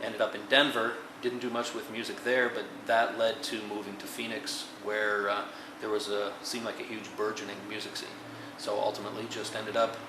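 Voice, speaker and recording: An American man from upstate New York, a drummer telling his story in a casual band interview. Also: lowish voice. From upstate New York, USA. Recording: echoey room, clear background noise.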